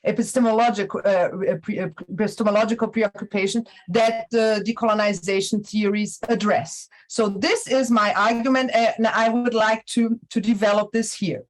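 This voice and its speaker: A woman with a Brazilian Portuguese accent, a native Portuguese speaker giving an academic lecture in a university hall, speaking haltingly with many restarts and fillers. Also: mid-range voice, steady tone. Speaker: conference lecturer.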